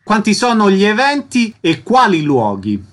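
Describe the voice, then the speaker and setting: A man hosting a radio interview programme. His voice sits a little higher than average for a man. He presents guests and puts questions to them. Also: wildly swooping pitch, moderate pace.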